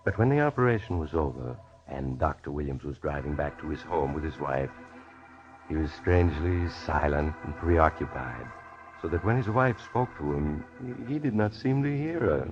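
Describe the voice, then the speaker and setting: Casting: sinister storyteller, extremely low voice, measured, vintage mystery radio, music under muffled sound. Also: male voice, expressive. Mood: ominous. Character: ominous male mystery narrator, sly and knowing. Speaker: narrator host. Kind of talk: 1940s radio scripted narration.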